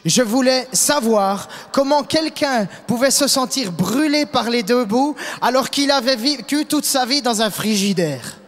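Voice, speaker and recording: A man's voice: very high voice, expressive, a little slow, hardly any pauses, a bit bright. A man interpreting a sermon phrase by phrase with emphatic delivery, in a large reverberant hall. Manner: emphatic, echoes the preacher's delivery.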